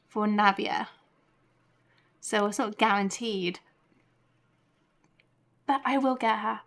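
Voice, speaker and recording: A woman chatting casually on a game livestream, excitable and giggly, half talking to herself. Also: a little high, vocal fry. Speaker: game streamer. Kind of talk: casual livestream chat while playing a video game.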